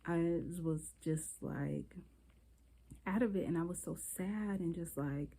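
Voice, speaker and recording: Female vlogger, a bit low-pitched, congested from a cold, candid rambling chat to camera on a phone. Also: slow pace, gravelly, dark tone, slightly rough. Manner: rambling, candid, talks straight to viewers. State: stuffy from a cold. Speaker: beauty vlogger. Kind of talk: casual chatty vlog.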